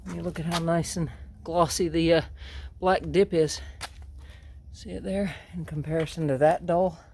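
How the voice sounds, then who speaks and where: A woman's voice: on the low side, steady tone, moderate pace, warm tone, a little hiss or hum. A woman casually narrating her car detailing work outdoors, chatty and rambling with lots of fillers. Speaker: car DIY vlogger. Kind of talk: casual narration while working.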